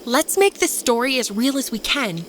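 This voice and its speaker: A woman voicing a coolly menacing young woman who tells a dark tale on a date, taunting and deliberate, in an audio horror story. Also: a little high, very animated, a little hiss or hum, voice pushed up. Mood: hushed, ominous. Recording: music bed under the voice.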